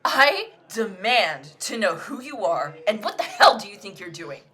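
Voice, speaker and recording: A woman acts out an imperious female theater performer in an audio drama. Her voice is bright and lively, swinging in pitch. She speaks slowly, with a haughty air that can turn halting. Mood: indignant.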